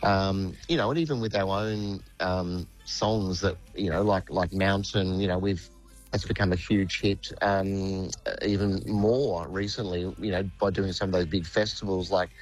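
A middle-aged man, a band's lead singer, talking in a relaxed, rambling way with lots of fillers. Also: deep voice, a little slow, faint music behind, poor audio. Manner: relaxed, rambling, many fillers, says "mate". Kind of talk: phone or studio interview.

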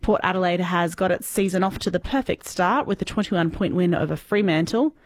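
An Australian woman with a loud voice, reading a radio news bulletin and then chatting cheerfully in a studio. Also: lowish voice, a little fast, no room sound. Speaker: newsreader. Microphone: studio microphone.

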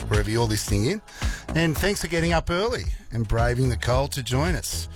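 A male Australian radio presenter with a croaky morning voice, chatting casually and playfully with listeners between weather and songs. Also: on the low side. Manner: chatty, playful, rambling asides. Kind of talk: casual breakfast radio chat. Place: radio studio.

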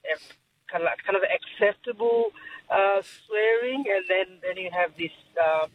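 A man calls in to a radio program, his high voice coming through a thin, phone-like line from some distance. He talks haltingly with many fillers, and a faint hiss sits behind him. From Bangladesh. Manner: hesitant, many fillers and pauses. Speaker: caller. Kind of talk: phone-in conversation.

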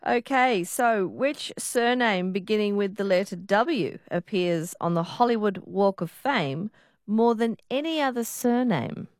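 Woman, radio quiz host, dry close studio sound, warm banter with callers. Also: mid-range voice, animated, a little slow, no echo. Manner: laughing often, friendly banter with callers.

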